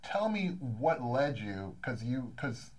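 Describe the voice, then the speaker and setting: A man's voice: mid-range voice, sing-song, slow, a little mellow. Joking male comedian host. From Wisconsin. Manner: jokey, self-deprecating, stammers on restarts. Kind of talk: casual interview chat.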